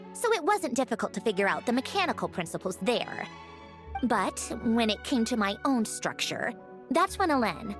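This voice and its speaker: A young female mechanical genius from a video game, proud and exacting. Her high voice moves with animated pitch as she lectures precisely and a little impatiently, with music underneath. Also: exaggerated voice. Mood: proud, wistful. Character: haughty, matter-of-fact young female mechanical puppet genius. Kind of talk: scripted video game voice acting.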